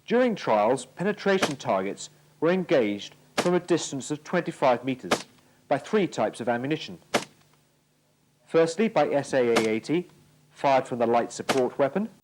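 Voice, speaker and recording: A man's voice: mid-range voice, sing-song, normal speed, background noise. A British man giving formal, precise scripted narration for an instructional military training film from the 1980s.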